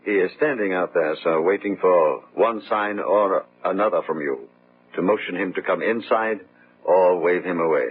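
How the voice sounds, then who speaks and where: An actor playing a polite, hesitant hired manservant in a radio drama, deferential in manner. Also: male voice, low-pitched, moderate pace, breathy edge. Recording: tinny, phone-like old radio sound.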